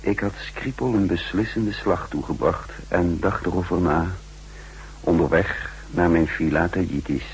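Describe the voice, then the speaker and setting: A man acting a shrewd, sardonic counter-espionage chief in a 1960s radio drama, speaking deliberately in clipped, dryly ironic phrases. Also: low-pitched, slight background noise, poor audio. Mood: brooding, tense.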